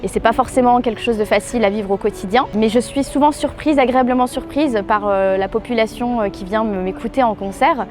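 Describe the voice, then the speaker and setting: A woman's voice: on the high side, a little fast, hardly any pauses, a little mellow, faint music behind. A woman opera singer speaking thoughtfully, with some hesitation, in an interview.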